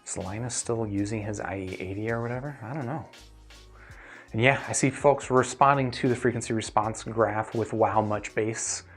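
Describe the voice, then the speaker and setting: A man hosting a casual livestream as a gear reviewer, chatting with viewers in a halting, think-aloud way. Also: fairly low pitch, faint music behind. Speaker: host, audio gear reviewer.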